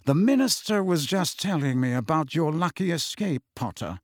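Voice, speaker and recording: A man voicing a cold, sneering aristocratic wizard with a slow, cool drawl in a dry audiobook recording. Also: on the high side, lively intonation, no room sound. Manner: slow, cool drawl with soft trailing endings.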